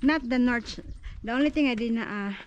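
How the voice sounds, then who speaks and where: A woman's voice: fairly high pitch, moderate pace, highs cut off, a little hiss or hum. A woman from the Philippines with a Filipino accent, chatting casually on a hiking vlog and admiring the view with short repeated exclamations, recorded outdoors on a phone. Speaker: vlogger. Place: outdoors on a canyon trail.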